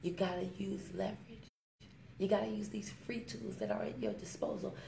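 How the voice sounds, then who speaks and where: A woman giving a motivational vlog talk on her smartphone, emphatic and preachy, slowing down to stress her points and fond of little rhymes. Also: mid-range voice, fairly even intonation, vocal fry. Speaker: network marketing vlogger. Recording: echoey room with faint ambient noise.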